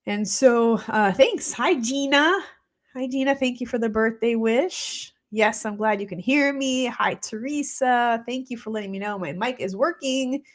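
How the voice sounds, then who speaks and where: A woman's voice: fairly high pitch, unhurried. Woman, forties, congested, chatty, reflective, live-stream host. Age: 40s. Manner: chatty and reflective, many fillers. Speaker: holistic practitioner hosting a weekly live show. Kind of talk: casual live-stream talk, greeting viewers. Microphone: streaming mic.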